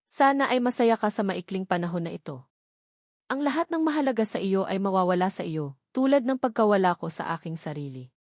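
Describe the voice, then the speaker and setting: A woman's voice: mid-range voice, lively intonation, fairly quick, a little mellow, dry recording, lightly acted. Filipino woman doing a cold vengeful villain lady, threatening, story narration, dry sound. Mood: menacing.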